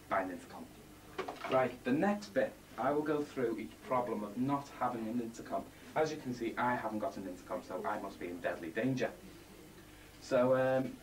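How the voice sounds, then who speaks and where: A man giving a rambling, half-scripted amateur presentation, halting and matter-of-fact with blunt asides. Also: mid-range voice. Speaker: presenter of a homemade design project video. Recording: distant mic, faint background noise, amateur home video.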